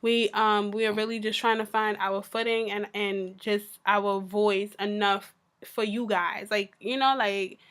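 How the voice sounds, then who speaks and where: A woman co-hosting a podcast in casual chat, her voice raspy from a sore throat, talking in a frank, laid-back way. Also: mid-range voice, little pitch movement, measured pace, a bit bright. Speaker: podcast co-host.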